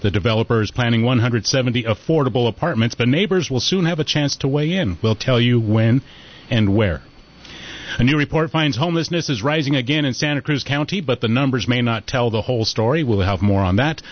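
A man hosting a casual radio talk show, chatty and relaxed, reading out headlines between conversation. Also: mid-range voice, a little fast, highs cut off.

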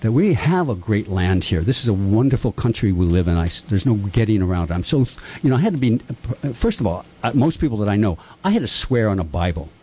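A man's voice: fast, husky. An older man who was born in Japan, talking earnestly and at length with rambling, impassioned opinions on a radio-style talk show. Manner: earnest, rambling, lively pitch swings. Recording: dull lo-fi sound.

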